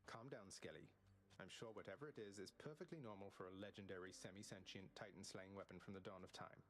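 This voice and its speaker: Man playing a deep-voiced, wry young underworld prince. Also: fast talker, lightly acted. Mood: wry, reassuring. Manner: dry, wry, formal wording. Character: proud, dry young underworld prince, rebellious and polite. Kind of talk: video game character acting.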